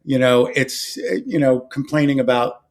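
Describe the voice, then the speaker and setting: Rambling middle-aged American podcast host, a man. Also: mid-range voice. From Philadelphia area, US. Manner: rambling, halting, agreeable. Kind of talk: casual conversational interview.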